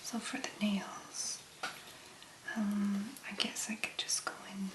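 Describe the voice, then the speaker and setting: A woman doing soft-spoken ASMR make-up commentary in a breathy, mostly whispered voice, musing gently as she works. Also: mid-range voice, level tone, reverberant, low-quality recording. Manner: slow, gentle, unhurried musing. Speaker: ASMR video creator.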